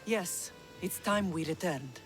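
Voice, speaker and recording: A woman's voice: on the low side, expressive, takes it slowly, over music. An actress playing a proud, steely, middle-aged Spartan mother in a video game. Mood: stern. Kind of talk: video game dramatic acting.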